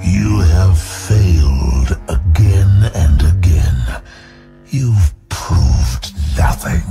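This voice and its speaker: A man voicing an ancient, menacing demon lord in a fantasy audio drama, gravelly and booming, with domineering, drawn-out words. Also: lively intonation, mellow, soft background music, heavily acted. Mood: contemptuous.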